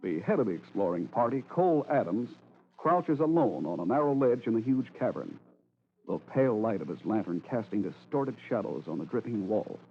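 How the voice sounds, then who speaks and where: A man's voice: on the low side, very animated, creaky. Tinny old-time American radio announcer man. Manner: polished, emphatic, measured. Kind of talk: 1940s radio announcing, scripted narration. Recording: narrow-band old radio recording.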